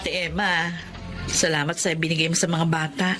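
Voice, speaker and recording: A woman's voice: deep voice, level tone, moderate pace, over loud music, close to an everyday voice. An actress playing a hardworking, caring middle-aged Filipino mother who fusses a little, in radio drama style. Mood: humble, earnest. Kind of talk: Tagalog radio drama acting.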